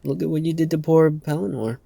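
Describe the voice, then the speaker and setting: A man voicing a cocky young swordsman in a dark fantasy audiobook, with a playful, taunting manner. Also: on the high side, brisk, creaky, dry recording, voice pushed up. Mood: playfully mocking. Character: cocky teasing young male fledgling swordsman.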